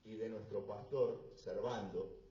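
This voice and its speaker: A man preaching a sermon in short phrases, pausing for his interpreter and rising to fervent emphasis. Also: on the low side, steady tone, warm tone. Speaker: visiting preacher. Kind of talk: sermon delivered through an interpreter. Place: church hall. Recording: roomy, distant, dull church recording.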